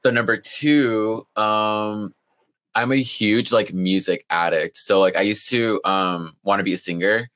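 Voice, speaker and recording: A man's voice: lowish voice, steady tone, measured pace, a bit bright. A young college-age man being interviewed, talking in a laid-back, chill way with lots of "like.". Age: young adult. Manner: laid back, heavy use of "like". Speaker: guest, college student. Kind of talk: casual interview chat.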